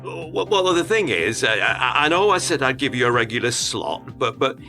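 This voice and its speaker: An actor in a radio play playing an awkward, apologetic club owner who stammers and hesitates. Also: male voice, mid-range voice, sing-song, moderate pace, bright, forceful tone, faint music behind.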